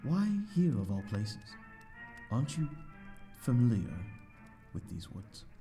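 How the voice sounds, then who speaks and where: A man's voice: fairly low pitch, very animated, takes it slowly, dark tone, faint music behind, exaggerated voice. A man playing a courteous, lonely vampire host in an ASMR audio roleplay, speaking in a formal, flowery, slightly flustered and hesitant way. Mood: curious, polite. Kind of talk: ASMR audio roleplay, scripted character acting.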